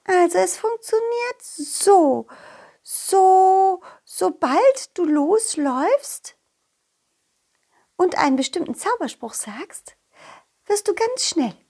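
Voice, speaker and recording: A woman's voice: very high-pitched, expressive, slow, mellow, full character voice. A woman voicing a kind, helpful fairyland friend in a children's audio play, sing-song and gushing as she explains things. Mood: patient, instructive.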